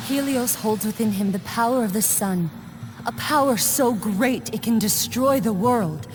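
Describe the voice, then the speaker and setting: An actress voicing an earnest, weakened goddess of the dawn in a video game, solemn and pleading. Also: female voice, a little high, a little slow, lightly acted. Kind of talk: dramatic video game acting. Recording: music behind the voice.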